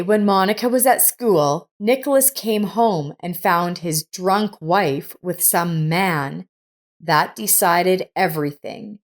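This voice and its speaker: A woman reading a scripted story as narrator, in a measured, deliberate manner. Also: lowish voice.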